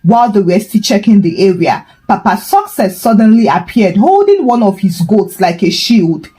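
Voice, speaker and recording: A woman's voice: medium pitch, big pitch swings, moderate pace, mellow, rough recording. A woman storyteller giving a scripted, dramatic narration of a folktale.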